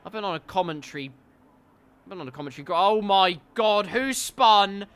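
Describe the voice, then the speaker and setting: A man with a stuffy, congested nose commentating a racing league, with wry asides that turn loud and excited when cars crash. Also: high voice, fairly quick, smooth.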